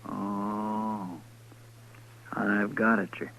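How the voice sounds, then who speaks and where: An actor playing an eager, deferential deputy with a rural American drawl in a 1950s radio Western. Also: male voice, fairly low pitch, little pitch movement, very slow, vocal fry, a bit bright, exaggerated voice. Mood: eager, puzzled. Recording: muffled, noisy old radio recording.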